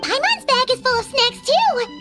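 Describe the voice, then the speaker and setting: A woman voicing a squeaky, enthusiastic tiny fairy companion in a video game, perky, with music behind. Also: sing-song, normal speed. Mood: perky, impressed.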